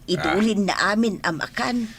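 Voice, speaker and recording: A woman acting a humble, aggrieved elderly mother seeking work as a maid in a radio drama, with a husky voice. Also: mid-range voice, moderate pace. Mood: plaintive.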